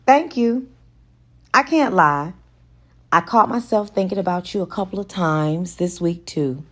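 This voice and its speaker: A woman's voice: fairly low pitch, animated, unhurried, a bit bright. A woman voicing a coy, playful and seductive female character in an audiobook romance. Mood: shy, flattered.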